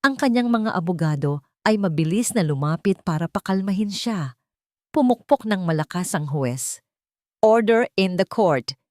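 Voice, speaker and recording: A woman's voice: lowish voice, lively intonation, moderate pace, dark tone, dry recording, clean studio sound. A woman with a Filipino accent reading a scripted dramatic story as its narrator.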